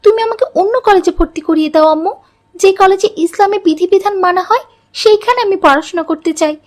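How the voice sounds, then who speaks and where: Very high-pitched, headstrong college girl, acted by a woman, young, cartoon dialogue. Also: fast, a little mellow. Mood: upset, remorseful. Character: headstrong college girl Fatema, later remorseful. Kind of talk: Bengali moral story cartoon dialogue.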